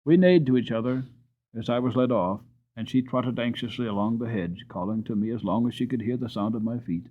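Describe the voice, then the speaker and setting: A man giving a dramatic, deliberate and expressive storybook narration on a muffled, narrow-sounding recording. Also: medium pitch. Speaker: audiobook narrator.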